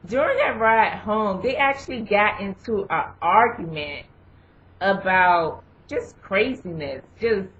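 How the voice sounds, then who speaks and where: A young woman vlogger giving casual advice, rambling and filling pauses with "you know" and "um". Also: mid-range voice, expressive, a bit bright. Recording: dull lo-fi recording.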